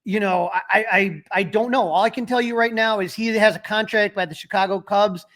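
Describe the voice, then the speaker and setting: A man with a high voice talks sports as a podcast co-host. He is opinionated and emphatic in a casual fan's way, and the sound is close and dry. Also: brisk, fairly bright tone, no room sound. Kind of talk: casual sports talk and commentary.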